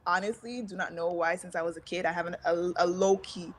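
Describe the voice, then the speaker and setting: A young woman from Montreal speaks with a slight American accent in a fairly low voice. She talks earnestly and encouragingly as an interview guest and laughs easily. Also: steady tone, a little fast, slight background noise. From Montreal, Canada. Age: young adult. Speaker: guest, singer and content creator. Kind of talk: casual interview chat.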